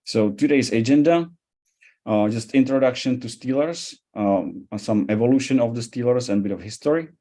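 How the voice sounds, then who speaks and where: A man with a Central European accent presenting a webinar as an expert, speaking haltingly with frequent hesitations. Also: mid-range voice, dark tone. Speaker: cybersecurity expert giving a presentation.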